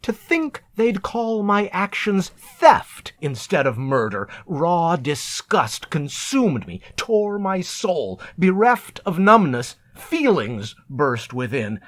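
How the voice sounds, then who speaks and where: A man reciting narrative poetry in a deliberate, measured, theatrical way, as a poet reading his own work. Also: fairly high pitch, big pitch swings.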